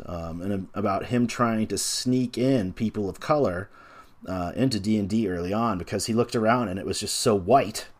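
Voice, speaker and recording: Brief: man about forty, game designer being interviewed; lowish voice, thoughtful, halting delivery full of "you know" and "um.". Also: a little mellow. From North America. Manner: reflective, hesitant, full of pauses and fillers. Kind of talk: casual interview talk.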